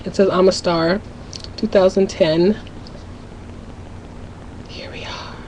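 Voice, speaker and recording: A woman's voice: lowish voice, fairly even intonation, takes it slowly, a little mellow, rough recording. A teenage girl rambles to her webcam at home, candid and a little scattered, restarting sentences as her thoughts run ahead. A faint hiss sits under her voice. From Minnesota, USA. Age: teens. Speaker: vlogger. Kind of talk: casual confessional webcam vlog.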